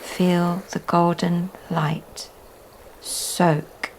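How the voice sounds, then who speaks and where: A woman teaching yoga leads a guided relaxation meditation in a soft, often breathy delivery with long pauses. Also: lowish voice, flat delivery, poor audio. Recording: faint background noise.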